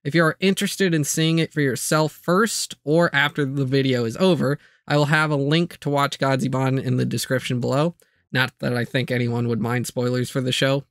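A man's voice: mid-range voice, dry recording, clean studio sound. A man hosting a video channel, narrating a scripted overview with light, self-aware asides.

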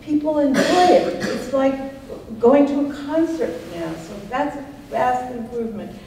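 An older woman speaks slowly and reflectively, with frequent laughter. Her voice comes from a distance in an echoey talk room with a long reverb tail. Faint room noise sits underneath. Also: high-pitched, mellow. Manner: unhurried, reflective, laughs often. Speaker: panel guest, writer. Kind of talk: panel discussion answering audience. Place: art center talk room, audience present.

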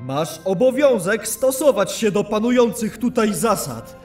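A man's voice: high voice, big pitch swings, faint music behind. A man playing a stern, wrathful elven lord of a paladin order in a fantasy audio drama, scolding imperiously. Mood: angry, stern. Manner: imperious, scolding, deliberate delivery.

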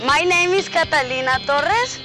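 A woman from Colombia, a native Spanish speaker and master's student, speaking in a lively, upbeat way. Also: high-pitched, normal speed. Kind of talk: interview and travel narration. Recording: music bed behind voice.

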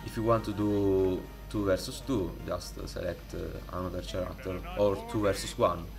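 A man with an Italian accent, speaking English as a second language, walks through a modding tutorial in a halting way, with many fillers and restarts, recorded on a desktop microphone. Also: low voice, steady tone, gravelly, over music. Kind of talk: casual screen-recorded tutorial. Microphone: desktop USB microphone.

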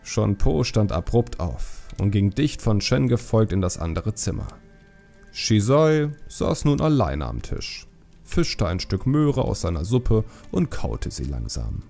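A man's voice: lowish voice, very animated, a little slow, creaky, warm tone, faint music behind. A man reading scripted fantasy story narration as an audiobook narrator.

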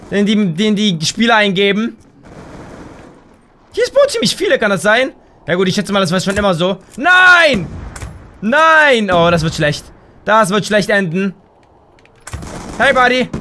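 A young man commentating his own gameplay on a headset mic, excitable and quick to shout, a little hoarse and tired. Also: very high voice, a little hiss or hum. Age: young adult. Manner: excitable, exclaims and shouts at the game. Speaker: gaming YouTuber. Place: home gaming room, indoors.

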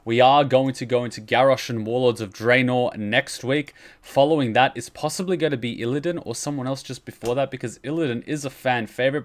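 Young guy streaming from his bedroom, casual chatty commentary, normal mid pitch voice. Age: young adult. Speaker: streamer, reaction host. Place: bedroom, indoors.

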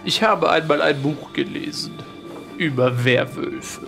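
Horror radio play: male actor as courteous, speculative young lord, fry-laden voice over light music. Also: fairly high pitch. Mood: musing. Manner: measured, musing, polite. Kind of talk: improvised horror tabletop audio drama.

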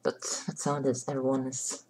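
A hoarse young man chatting casually to his webcam in a small bedroom, rambling and clearing his throat. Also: medium pitch, monotone, fairly quick, darkish tone. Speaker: vlogger. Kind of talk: casual makeup vlog chat. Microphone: webcam close up.

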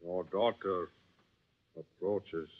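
Deep, slow royal servant. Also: male voice, fairly even intonation, full character voice. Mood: grave, dutiful. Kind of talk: vintage radio drama acting. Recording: tinny, narrow old radio recording.

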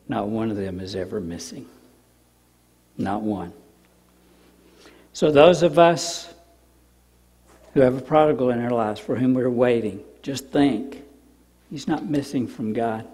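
Older pastor in a reverberant church. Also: male voice, medium pitch, slightly rough. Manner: storytelling, drops to soft emphatic repeats. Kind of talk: sermon. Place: church sanctuary stage. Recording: long reverb of a church hall.

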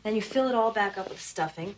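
A middle-aged woman acting in a film as a polite, matter-of-fact suburban lady who calmly plans to cook a boy. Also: medium pitch, a little fast, close to an everyday voice. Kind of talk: film acting, understated menace. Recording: film soundtrack with faint noise.

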